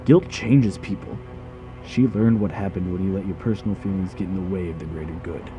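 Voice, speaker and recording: A man playing an earnest, protective young soldier in an audio drama, measured and confiding. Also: sits low, muffled recording, lightly acted. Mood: earnest, firm. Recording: music playing behind the voice.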